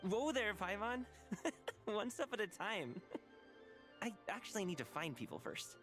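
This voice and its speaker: A man's voice: high voice, big pitch swings, measured pace, loud music behind the voice. A man playing an upbeat, friendly and modest young male dancer in a video game, casual and breezy. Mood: sheepish. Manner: casual, breezy, chuckles self-consciously.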